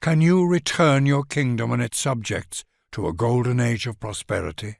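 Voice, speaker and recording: A man's voice: medium pitch, normal speed, gravelly, no room sound, studio quality. A man reading grand scripted narration for a strategy game, addressing the listener in a formal, stately, dramatic way.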